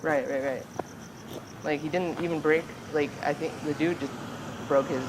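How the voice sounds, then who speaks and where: Man chatting beside loud traffic. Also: fairly high pitch, fairly even intonation, normal speed. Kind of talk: casual chat. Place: outdoors by a road with passing vehicles. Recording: very noisy outdoor roadside with traffic.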